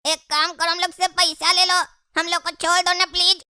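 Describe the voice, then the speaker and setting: Woman acting a very shrill, whiny cartoon boy. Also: level tone, normal speed, pushed and bright, no room sound, clean studio sound, heavily acted. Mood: pleading. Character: whiny cowardly older brother, cartoon boy, self-serving.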